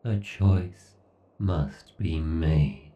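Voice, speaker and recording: A man playing an obsessed, villainous AI god in a horror roleplay, speaking with slow, brooding menace and deliberate pauses. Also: low voice, far from the mic, dull audio. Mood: bitter, cold. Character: obsessed, villainous male AI god ruling a scorched apocalypse. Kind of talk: horror ASMR roleplay monologue.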